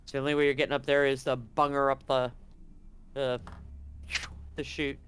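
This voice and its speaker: A man's voice: medium pitch, little pitch movement, moderate pace, fairly bright tone, clear, resonant tone. A man acting as game master, casually narrating a tabletop roleplaying game with bantering, rambling asides.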